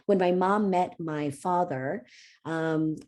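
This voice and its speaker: A middle-aged woman speaks from a conference stage, giving a personal keynote. Her voice sits low and mellow. She tells her story earnestly, with frequent ums and small restarts. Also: slow pace.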